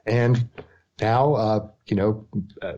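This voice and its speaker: A middle-aged man co-hosting a podcast, giving casual, measured commentary on sports and culture over low-fidelity audio. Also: steady tone, mellow, muffled recording. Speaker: podcast co-host.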